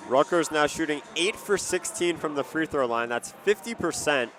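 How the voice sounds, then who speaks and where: A man's voice: a little high, lively intonation, moderate pace, a bit bright, faint music behind. A young man giving colour commentary and analysis on a live basketball broadcast. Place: courtside in a basketball arena.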